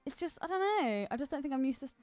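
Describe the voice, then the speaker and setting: A woman with a fairly high, very lively voice talks as a podcast co-host. She is opinionated and bantering, in casual conversation with some music behind her. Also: racing, no room sound. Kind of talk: casual film discussion chat.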